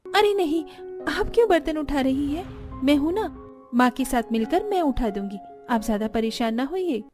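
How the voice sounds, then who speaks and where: A woman's voice: high voice, expressive, moderate pace, a little mellow, soft background music, pitched above the performer's own voice. A woman voicing a responsible, polite young elder sister and new bride in a cartoon story. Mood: helpful, polite. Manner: polite, eager to help. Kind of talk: Hindi cartoon story acting.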